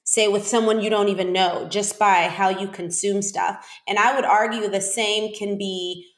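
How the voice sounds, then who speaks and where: A woman's voice: mid-range voice, steady tone, moderate pace. A woman hosting a conversational podcast interview, speaking informally and rephrasing as she goes. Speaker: podcast host, doctor. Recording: roomy, echoey sound.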